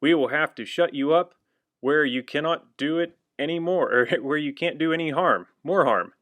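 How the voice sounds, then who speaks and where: A man's voice: fairly high pitch, normal speed, fairly bright tone, no room sound, pitched above the performer's own voice, close to an everyday voice. A man voicing urgent, scolding firemen in a children's storybook, calling out in short exclamations.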